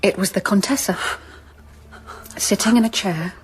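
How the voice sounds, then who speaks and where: A woman's voice: medium pitch, moderate pace, background noise, close to an everyday voice. An actress playing a genteel, anxious young Englishwoman in a radio drama, well-spoken, hesitant and emotional. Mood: shaken, haunted.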